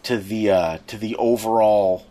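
A man's voice: on the low side, fairly even intonation, unhurried, warm tone. Wry middle-aged man in casual podcast chat. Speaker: podcast co-host. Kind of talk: casual podcast chat about pop culture.

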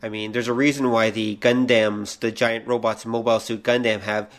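A man's voice: lowish voice, level tone, fairly quick. A man co-hosting a podcast, talking casually about pop culture in an explanatory, analytical way. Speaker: podcast co-host.

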